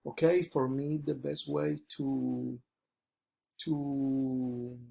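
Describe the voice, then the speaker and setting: A man's voice: medium pitch, level tone, drawn-out words, creaky, mellow. A young man from Colombia with a Colombian Spanish accent, a Spanish native speaker, answering interview questions in English and restarting his answers over and over with many fillers.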